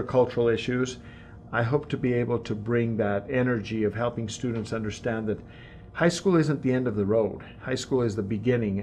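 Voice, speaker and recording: A man interviewed as an incoming high school principal, earnest, often repeating words as he starts a sentence. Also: a little mellow, slight background noise. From Tanzania and Mexico. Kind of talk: interview answers. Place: school office.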